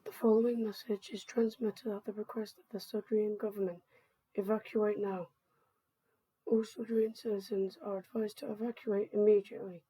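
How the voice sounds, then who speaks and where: A woman playing a government emergency announcer, reading a scripted evacuation alert in a formal, measured manner. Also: mid-range voice, level tone, mellow, slightly rough, no echo, lightly acted, low-quality recording. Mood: grave, urgent.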